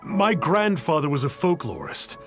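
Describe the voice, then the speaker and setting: An actor playing a humble, reverent reformed trickster in a video game, speaking formally and earnestly. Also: male voice, fairly high pitch, huge pitch swings, moderate pace. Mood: reverent, confessing. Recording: game music behind the voice.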